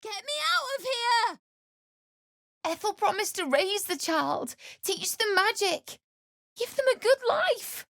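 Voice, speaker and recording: An actress voicing a frightened, grieving young wife in a fantasy video game, pleading and tearful, breaking into anguished cries. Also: female voice, very high voice, lively intonation, takes it slowly, a bit bright, no room sound, clean studio sound, heavily acted. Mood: desperate.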